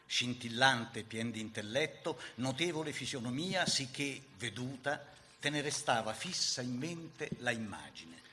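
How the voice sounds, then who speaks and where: A man's voice: medium pitch, animated, a little slow, bright, forceful tone, a little hiss or hum. A man reading scripted historical narration for radio theatre in a dramatic storytelling style.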